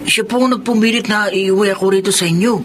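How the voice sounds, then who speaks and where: Radio drama: male actor as a meek young man, high-pitched, with audible background noise. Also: steady tone, fast talker, natural voice. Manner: meek, polite, hesitant. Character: humble, timid young street boy.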